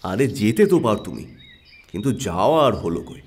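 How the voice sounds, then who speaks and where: A man's voice: lowish voice, big pitch swings, normal speed. An actor playing a keen, confident male detective who reasons emphatically in an audio mystery drama. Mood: musing, suspicious.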